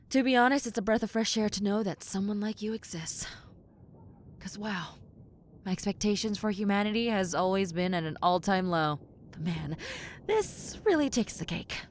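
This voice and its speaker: A woman's voice: mid-range voice, lightly acted. A woman voicing a brash tomboy succubus in a roleplay audio, sarcastic, incredulous and casually blunt. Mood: wry, resigned. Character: brash tomboy succubus demon, blunt, sardonic, reluctantly helpful. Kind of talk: roleplay audio acting, conversational.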